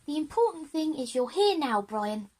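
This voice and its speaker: A woman's voice: high voice, sing-song, normal speed, darkish tone, pitched above the performer's own voice. A woman playing an outspoken, cautious schoolgirl in a children's audio drama. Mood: polite.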